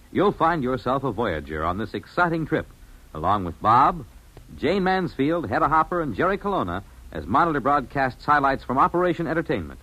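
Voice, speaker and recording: A man's voice: mid-range voice, fairly bright tone, rough recording. A man with an American accent announcing formally and dramatically in a scripted 1950s radio style. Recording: slightly rough vintage radio recording.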